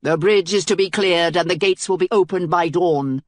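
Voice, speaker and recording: A woman reading aloud, voicing a formal male herald who delivers an enemy queen's terms slowly. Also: fairly low pitch, dry recording, natural voice. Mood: pompous. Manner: slow, pompous proclamation.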